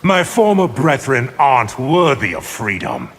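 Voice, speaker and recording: An actor voicing a cold, scheming, arrogant sorcerer in a video game, haughty and deliberate. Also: male voice, mid-range voice, huge pitch swings, very noisy. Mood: scornful. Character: cold, scheming, arrogant male sorcerer, power-hungry villain. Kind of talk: video game villain dialogue.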